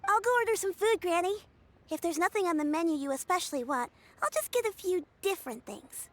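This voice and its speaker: A woman's voice: very high voice, expressive, fairly quick, slight background noise. A woman voicing a polite, caring young granddaughter in a video game, earnest and a little hesitant.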